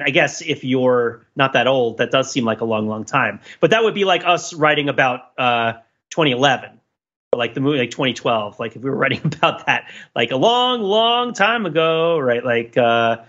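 A man's voice: medium pitch, fairly bright tone, highs cut off. A man hosting a casual podcast discussion, thinking aloud in a rambling, playfully analytical way. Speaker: podcast host.

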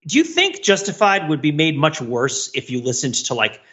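A man's voice: fairly high pitch, wildly swooping pitch, normal speed, a bit bright, dull audio. A middle-aged American man chatting casually as a podcast co-host, rambling and questioning himself as he goes. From United States.

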